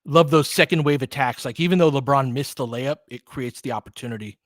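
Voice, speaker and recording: A man's voice: medium pitch, brisk, fairly bright tone, no echo, clean studio sound. A man hosting informal live basketball commentary, chatting conversationally with fans and calling plays in clipped phrases. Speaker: host doing live play-by-play for fans. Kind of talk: casual basketball commentary mixed with chat replies.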